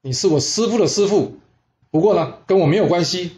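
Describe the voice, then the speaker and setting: Guy playing cocky archer apprentice in a history tale, defiant n dismissive, highish voice. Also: lively intonation, fast, darkish tone, close to an everyday voice. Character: defiant, proud male archer apprentice in pursuit.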